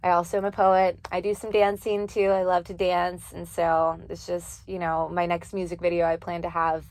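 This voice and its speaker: A woman's voice: on the low side, little pitch movement, normal speed, a little mellow, dry recording. A young woman, a singer and poet, talking earnestly in a casual interview, with many fillers and easy laughs. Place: office studio.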